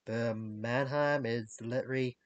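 A young adult man giving a casual recap in a halting, disjointed way, searching for words. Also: medium pitch, fairly even intonation, muffled recording. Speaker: reviewer of animated series. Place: small cluttered bedroom, indoors.

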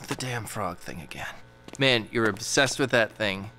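Annoyed sarcastic bandmate, young, sharp bright voice, audio drama w bit of music. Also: male voice, on the low side, animated, a little slow. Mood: irritated, sarcastic.

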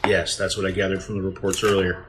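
Low-voiced, deliberate President played by a man. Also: flat delivery, over music, natural voice. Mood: grave. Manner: deliberate, authoritative, pondering. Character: grave, measured male President in a crisis. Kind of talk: science fiction audio drama acting.

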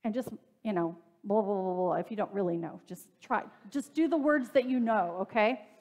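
A woman pastor in her thirties giving a relaxed, conversational sermon in a church sanctuary, with everyday fillers and friendly asides to the congregation. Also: medium pitch, normal speed, muffled recording. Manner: conversational, plain-spoken preaching with fillers and asides.

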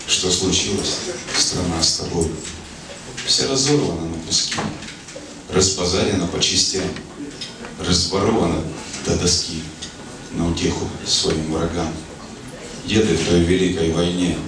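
A middle-aged man performs at a club with a low, measured voice. He speaks into a stage microphone, heard from afar through heavy echo, with clear noise in the recording. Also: far from the mic. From Soviet Union. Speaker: poet and performer at a bard club evening. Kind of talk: stage talk and poetry recitation. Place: small club stage, indoors. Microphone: handheld stage microphone. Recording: echoey club PA from afar, noisy.

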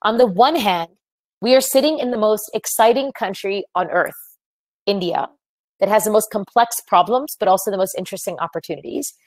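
A woman's voice: medium pitch, a little fast, no room sound. American woman telling her story. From United States. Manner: earnest, reflective storytelling with frequent fillers. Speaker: webinar speaker, entrepreneur. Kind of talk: presenting a webinar talk.

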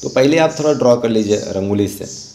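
A man with a soft-edged, mellow voice at a middling pitch talks through an art tutorial step by step. His delivery is informal and halting, with English terms mixed into his explanations. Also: expressive. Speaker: rangoli art instructor.

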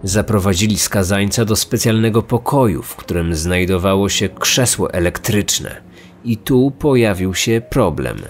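A man delivering serious, measured scripted true-crime narration as a podcast host. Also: on the low side, slight background noise. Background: music.

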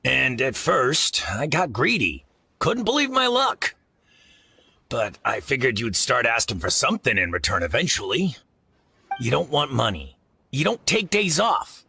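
Game merchant: exasperated yet decent, husky, forceful, bright edge, highly animated. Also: male voice, measured pace, heavily acted. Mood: frank, puzzled. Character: gruff, exasperated but decent market merchant man. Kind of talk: video game voice acting.

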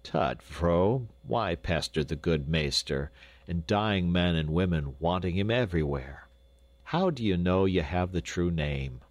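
A man's voice: low voice, lively intonation, measured pace, no echo. Storybook peasant father, read by a man: hearty, earnest, rustic old-fashioned phrasing, dry sound. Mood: chiding. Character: plain-spoken, grateful peasant father recovering from illness.

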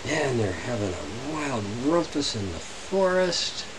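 A man reading a children's picture book aloud with dramatic, drawn-out phrasing. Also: medium pitch, sing-song. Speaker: storybook reader. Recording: hissy, noisy home recording.

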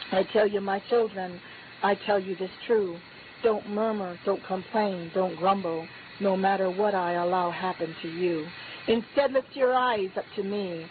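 A woman delivering a prophetic word in the voice of God, in a solemn, repetitive declaring cadence that lifts on key phrases. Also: mid-range voice. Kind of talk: prophetic utterance spoken as God. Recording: tinny phone-like line with faint hiss.

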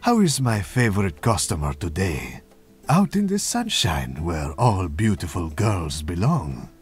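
A theatrical flirting barista. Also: male voice, low voice, sing-song, faint music behind. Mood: gallant. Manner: slow, theatrical, over-gallant.

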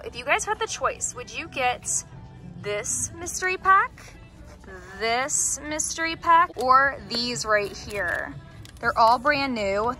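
A young woman chatting bubbly and fast to her handheld camera while shopping in a mall and candy store. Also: fairly high pitch, sharp tone. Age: young adult. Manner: bubbly, chatty, quick gasps and laughs. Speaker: vlogger. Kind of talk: casual shopping vlog. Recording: noisy mall ambience.

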